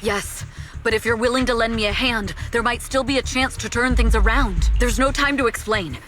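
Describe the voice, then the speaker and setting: A woman's voice: on the high side, moderate pace, a bit bright, lightly acted. A serious female bodyguard with a secret past as a spy voiced by an actress, speaking with formal, urgent conviction. She is protective and weighed down by guilt, in dramatic video game style, with some music behind her. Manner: earnest, urgent, formal phrasing.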